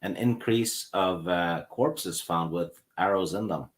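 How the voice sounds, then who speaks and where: A man from Norway with a Norwegian accent, speaking English as a later language and trading dry, deadpan puns in casual chat. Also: sits low, takes it slowly.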